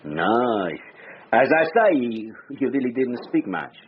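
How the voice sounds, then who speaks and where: Guarded cafe owner, thin radio sound. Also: male voice, medium pitch, big pitch swings, slow, dull audio. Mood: wary, evasive. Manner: clipped, hesitant, broken English. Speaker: actor in a radio drama. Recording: thin boxy radio recording with hiss.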